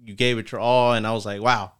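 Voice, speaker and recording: A man co-hosting a podcast, chatting quickly and affirming his guest often. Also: on the low side, level tone, fairly bright tone, smooth. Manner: quick, affirming, many backchannels. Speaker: podcast co-host. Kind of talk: casual podcast chat.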